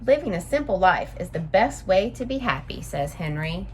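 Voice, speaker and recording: Female story-time reader for kids: lowish voice, very animated intonation, explains things simply, noisy home-style recording. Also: normal speed. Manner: simple, explanatory, addressing young listeners. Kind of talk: read-aloud storytelling with explanatory asides for children.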